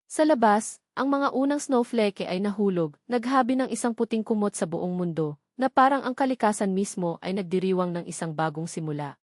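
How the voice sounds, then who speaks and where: A woman's voice: medium pitch, a little mellow, dry recording, clean studio sound. A woman reading a scripted story as its narrator.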